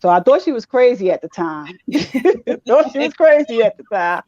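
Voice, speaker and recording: Guest author, woman from New Jersey, mellow and soft-spoken, dry lo-fi line. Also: a little high, sing-song, moderate pace, no echo. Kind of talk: casual interview.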